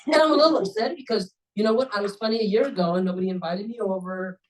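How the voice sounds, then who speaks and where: A woman with a low voice joking casually with fellow club members. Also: rapid-fire, far from the mic, low-quality recording. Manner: joking, animated. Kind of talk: casual chat.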